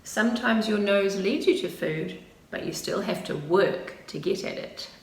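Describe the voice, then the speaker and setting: A woman voicing a wise, patient old grandmother bear in a children's storybook, gently teaching and encouraging. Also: medium pitch, lively intonation, a little slow, distant mic. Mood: instructive. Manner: gentle, instructive, reassuring. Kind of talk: children's storybook character reading. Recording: roomy, faint background noise.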